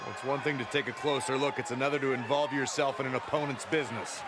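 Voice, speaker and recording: A man's voice: medium pitch, fairly even intonation, fast, close to an everyday voice. A man voicing an indignant, critical wrestling commentator in a video game. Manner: critical, emphatic. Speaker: color commentator. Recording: noisy arena crowd behind commentary.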